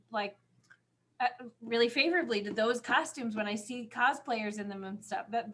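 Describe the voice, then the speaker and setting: Podcast co-host, female, higher-pitched voice, gushing and emphatic, casual chat delivery. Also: fairly bright tone.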